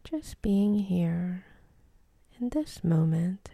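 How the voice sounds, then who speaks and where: Very slow, mellow woman guiding meditation. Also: lowish voice, vocal fry, no room sound, studio quality. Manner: calm, unhurried, gentle, with long pauses. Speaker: meditation guide. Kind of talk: guided meditation.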